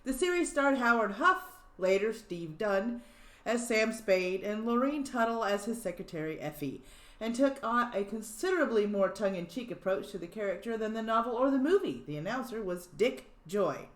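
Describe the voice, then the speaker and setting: A woman's voice: on the high side, expressive, normal speed, a bit bright. A woman hosting a livestream, chatting casually, giggling and thinking aloud as she reads background notes. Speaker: livestream host. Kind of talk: casual chat. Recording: roomy echo.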